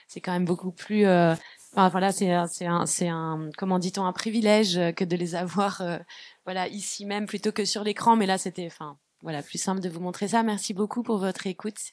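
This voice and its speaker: A woman with a fairly low voice moderates a panel. She speaks in a loose, chatty way and leans on filler words. The recording is close and dry. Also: steady tone, normal speed, a little mellow, no room sound. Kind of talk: closing a panel talk.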